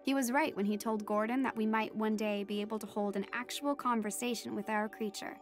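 A woman's voice: mid-range voice, steady tone, a little fast, faint music behind, natural voice. A young woman playing an earnest teenage daughter, narrating a first-person audio drama in a reflective way. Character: teenage daughter of a marine biologist, earnest storyteller. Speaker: narrator and lead character.

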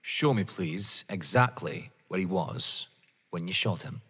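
A stern, dogged police inspector, acted by a man in an audio drama. He is rough-voiced and dryly polite, with pointed, lively intonation and long reverb around him. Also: low voice, heavily acted. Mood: probing. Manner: deliberate, pointedly courteous. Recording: long echoing hall reverb.

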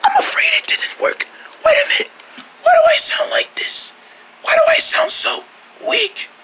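Hoarse, whispering, panicky king. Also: animated, moderate pace, bright, forceful tone, heavily acted, low-quality recording. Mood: panicked, weak. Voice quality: hoarse, breathy whisper, weak and squeaky. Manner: melodramatic, panicky. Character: pompous dramatic king who lost his voice.

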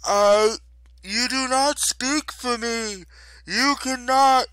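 A man voicing a wrathful ancient earth goddess in an audiobook, imperious and defiant, declaiming in drawn-out, booming phrases. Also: bright, forceful tone, exaggerated voice, low-quality recording. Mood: furious. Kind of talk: dramatic audiobook character acting.

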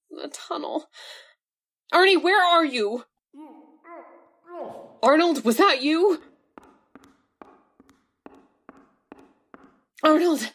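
A woman playing a nervous wife led underground by her husband in a radio drama, stammering and pleading. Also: high voice. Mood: frightened.

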